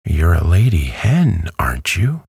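A man's voice: deep voice, wildly swooping pitch, unhurried, vocal fry, a little mellow, husky, no room sound, studio quality, voice pushed up, exaggerated voice. A man reading a storybook aloud who voices a polite, earnest little girl. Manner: earnest, well-mannered, eager.

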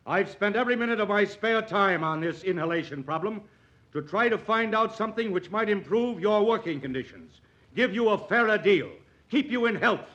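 An actor playing an earnest, proud, idealistic doctor in an impassioned, articulate old radio drama style. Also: male voice, high voice, animated, moderate pace, a bit bright. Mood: impassioned pleading. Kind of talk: 1940s radio acting. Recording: dull old radio recording.

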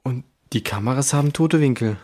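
A man's voice: mid-range voice, a little fast, darkish tone, lightly acted. A man playing an offhand, evasive boy thief in a children's audio drama, with slangy, nonchalant talk. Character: cagey boy thief from a poacher gang, offhand.

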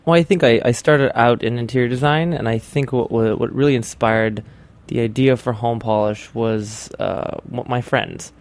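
A young American man from Hawaii telling his story as an interview guest, casually, with halting restarts. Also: mid-range voice, fairly even intonation. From Hawaii, United States.

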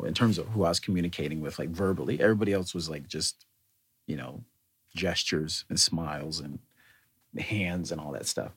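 A man's voice: sits low, slightly rough. A man casually telling a rambling personal anecdote, often correcting himself and chuckling as he goes. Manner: rambling, self-correcting storyteller.

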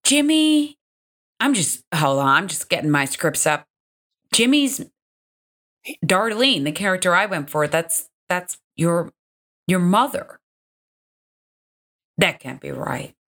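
A young adult woman improvising comedy banter, bubbly and animated, her voice jumping high when excited. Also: slow, pauses often.

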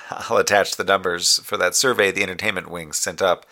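A man playing a dutiful moonbase communications officer in a sci-fi audio drama, delivering reports in a formal, measured, dryly wry manner. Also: low-pitched, steady tone, pushed and bright. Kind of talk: scripted audio drama log broadcast.

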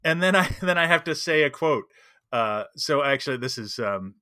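A man's voice: a little high, lively intonation, moderate pace, sharp tone, no room sound, clean studio sound. A man co-hosting a podcast, chatting casually and emphatically about tabletop role-playing. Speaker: podcast co-host.

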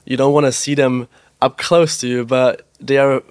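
A young man from Austria, a guest in a podcast interview, talking in a relaxed way with lots of "like" and "um" and an easy laugh. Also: medium pitch, smooth, no room sound, clean studio sound. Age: young adult. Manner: relaxed, fills pauses with "like" and "um", laughs easily. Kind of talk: casual interview chat. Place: pop-up store.

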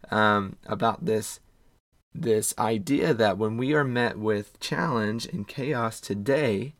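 A man hosting his own wellness podcast, slightly hoarse, upbeat and encouraging, with corny jokes and some thinking aloud. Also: on the low side, no echo. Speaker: podcast host. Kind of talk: casual solo podcast talk.

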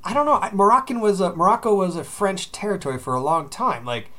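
A man hosting a podcast, chatting casually with friends and telling stories in an animated, sweary way. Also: high voice, fast. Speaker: podcast host. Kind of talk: casual chat among friends.

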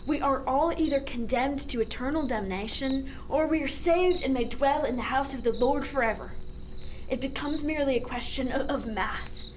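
Woman acting a high-voiced wry philosophical sailor. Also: moderate pace, a little hiss or hum, lightly acted. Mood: wry, reflective. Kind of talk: radio drama acting.

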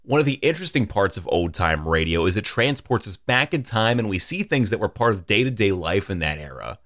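A man hosting a podcast, reading a measured scripted introduction in a studio. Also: on the low side, animated, a bit bright, no room sound. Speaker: podcast host.

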